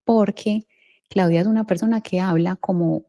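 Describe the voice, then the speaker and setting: A woman's voice: mid-range voice, steady tone, normal speed, mellow. A Colombian woman hosting a podcast interview in an informal, effusive, conversational way.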